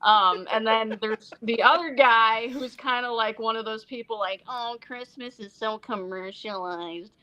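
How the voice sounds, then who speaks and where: A woman from Iceland talking casually as an interview guest about her film projects, rambling and animated, acting out quotes as she goes. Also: on the high side, measured pace, hardly any pauses, rough recording. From born in Iceland, Icelandic mother and American father. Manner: animated, rambling storyteller who acts out quotes. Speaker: interview guest, independent filmmaker and actress.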